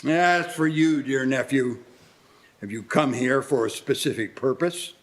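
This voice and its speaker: A cold-hearted old miser played by a man in a live radio play. He is gruff and curt, with heavy sarcasm and open scorn, in a mid-pitched male voice. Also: measured pace, close to an everyday voice. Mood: sarcastic, threatening. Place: live community theatre performance.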